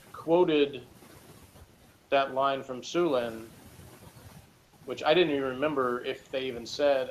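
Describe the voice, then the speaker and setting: A man in a casual review chat, thinking aloud in a rambling, tentative way as a guest reviewer. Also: medium pitch. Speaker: guest reviewer discussing TV episodes. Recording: noisy recording with background ambience. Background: noticeable noise and ambience.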